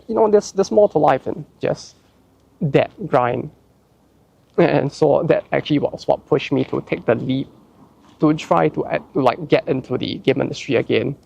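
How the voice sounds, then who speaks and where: A man's voice: medium pitch, creaky, a little mellow. A young man from Singapore with a Singaporean English accent, chatting casually as he answers viewer questions, rambling with lots of "like" and restarts. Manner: rambling, heavy use of "like", frequent restarts. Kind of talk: casual chat.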